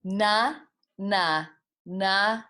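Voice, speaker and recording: A woman's voice: medium pitch, very slow, pushed and bright, pitched above the performer's own voice. A woman voicing a naughty, stubborn little boy bear in a children's storybook, refusing in a childlike way. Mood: defiant.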